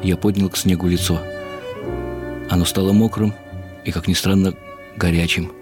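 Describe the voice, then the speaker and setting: An older man giving a reflective, expressive and unhurried dramatic reading of literary prose for radio. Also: low-pitched. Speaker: narrator. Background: music.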